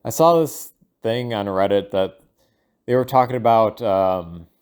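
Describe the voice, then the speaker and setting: A man thinking aloud in a casual, rambling audio log, halting and informal, sometimes voicing imagined lines of dialogue. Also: fairly low pitch, clear, resonant tone. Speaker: solo audio log host.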